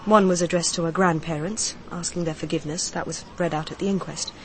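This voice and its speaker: An actress playing a grieving mistress confiding in a detective in a radio crime drama, speaking in a measured, confessional way. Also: female voice, on the low side, fairly even intonation, a little hiss or hum, natural voice.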